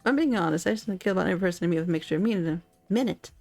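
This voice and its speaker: A woman's voice: on the low side, lively intonation, rapid-fire, vocal fry, darkish tone, faint music behind. A woman playing a brooding, threatening male killer in a dating sim game, with a grudging manner. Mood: grudging menace.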